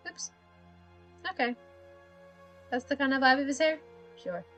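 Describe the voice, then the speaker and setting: A woman's voice: high-pitched, lively intonation, unhurried, a bit bright, soft background music. A young woman from Australia with a slightly American-drifting Australian accent, chatting casually and rambling with self-deprecating laughs while drawing on a live stream.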